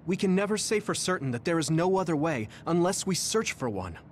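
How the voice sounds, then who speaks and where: A man playing a determined, burdened young soldier in a video game, speaking in an earnest, measured way. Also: a little high, very animated, a little hiss or hum. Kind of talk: video game cutscene acting. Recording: dramatic music behind the voice.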